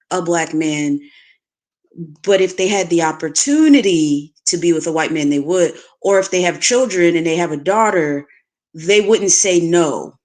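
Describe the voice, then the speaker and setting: A woman speaking bluntly and frankly as a panelist in a casual livestream discussion, often restarting her sentences. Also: lowish voice. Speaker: podcast panelist.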